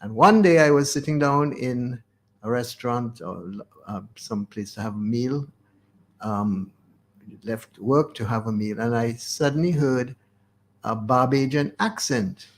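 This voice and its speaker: A man's voice: mid-range voice, measured pace. Elderly man, hesitantly reminiscing. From Caribbean. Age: 80s. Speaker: interview guest. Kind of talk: unscripted reminiscing in a radio interview.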